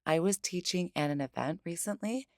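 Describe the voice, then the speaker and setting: A woman with a hoarse, strained voice from losing it, patiently explaining each step of a craft tutorial in a voice-over. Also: on the low side, normal speed, dry recording, studio quality. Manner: explains steps patiently and plainly. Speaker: craft demonstrator.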